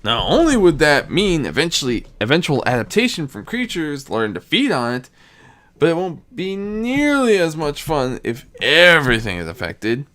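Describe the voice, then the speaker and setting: An irritable demon prince of plagues from a storybook, as a narrator plays him. He grumbles and schemes, his pitch swinging widely as he talks. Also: wildly swooping pitch, normal speed, fairly bright tone. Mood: scheming, careful.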